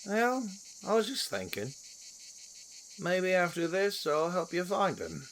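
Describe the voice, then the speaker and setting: A man's voice: sits high, very animated, slow pace, a bit bright, a little hiss or hum, full character voice, low-quality recording. A man playing a brash, playful monkey-folk warrior who likes his drink, crude and slangy, in a fantasy audio drama. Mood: casual, curious.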